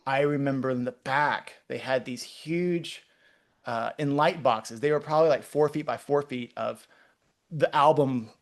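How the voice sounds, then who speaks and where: A man in his forties from Texas with a Texan accent, a guest artist chatting casually in an interview, rambling and restarting his sentences often. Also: medium pitch. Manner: rambling, halting, many restarts.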